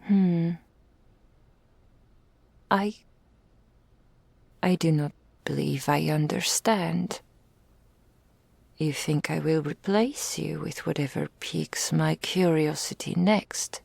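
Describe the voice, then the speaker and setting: Woman as a low-voiced, slow, doting dragon lady. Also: darkish tone, dry recording, full character voice. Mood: puzzled, affronted. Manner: grandiloquent, slow, affectionate, with breathy whispered phrases. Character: wealthy doting female dragon, possessive, refined hoarder. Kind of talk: intimate ASMR roleplay acting.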